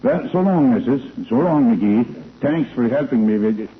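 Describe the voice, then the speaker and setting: Male actor as huffy, dry-witted gentleman, animated mid-pitched delivery, vintage radio comedy. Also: normal speed, natural voice. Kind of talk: 1940s-50s radio comedy acting. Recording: tinny old radio recording.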